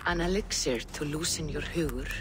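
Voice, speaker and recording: An actress playing a calm, grave seer in a video game, speaking slowly and prophetically. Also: female voice, low voice, over music, natural voice. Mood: ominous. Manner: slow, solemn, prophetic.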